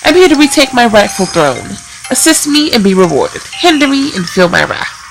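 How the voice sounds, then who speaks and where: A woman's voice: on the high side, big pitch swings, normal speed, noisy background. A woman voicing a haughty, impatient young princess from a visual novel, with a pompous, commanding way of talking. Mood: imperious. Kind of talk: visual novel character read-aloud.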